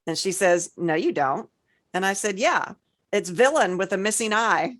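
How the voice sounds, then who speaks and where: A woman co-hosting a podcast in casual, informal conversation, easygoing and quick to agree. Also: medium pitch, lively intonation. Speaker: podcast co-host.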